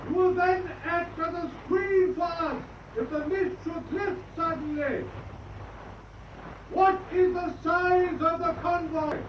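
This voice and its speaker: An actor playing a brisk, authoritative U-boat commander hailing across the water in a radio drama. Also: male voice, extremely high pitch, fairly even intonation. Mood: hailing, calling out. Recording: muffled, echoing, distant shouts with faint noise.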